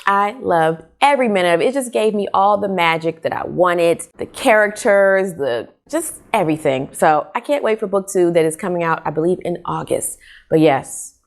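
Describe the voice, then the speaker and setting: A woman with a slightly low voice hosts a casual book vlog. She talks in an expressive, conversational manner, putting emphasis on her opinions as she reviews. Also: normal speed. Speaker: book vlogger, host. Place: small furnished living room, indoors.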